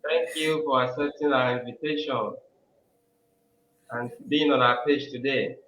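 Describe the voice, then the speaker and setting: Male live-stream host, crisp voice, muffled recording, welcoming, lots of fillers. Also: mid-range voice, steady tone, a little slow, fairly bright tone, echoey room. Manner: welcoming, uses frequent fillers.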